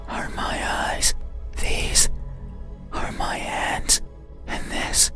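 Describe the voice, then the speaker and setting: A performer playing a lonely, menacing monster in an ASMR horror game, speaking in breathy whispers with slow, deliberate, halting phrases. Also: close to an everyday voice. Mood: grateful, pleading. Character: lonely, menacing bedside monster yearning to be seen.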